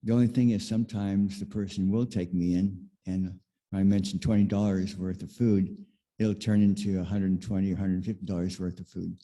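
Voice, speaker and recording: Very dark, deep-voiced man. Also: level tone, fairly quick. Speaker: congregation member sharing in church discussion. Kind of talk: personal anecdote, reflective.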